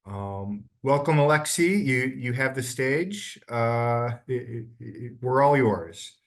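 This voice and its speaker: A man's voice: mid-range voice, expressive. A man hosting an online talk, who opens hesitantly and then reads out the guest's biography. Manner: hesitant at first, then reading a bio briskly. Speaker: host introducing a guest. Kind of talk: reading a guest introduction.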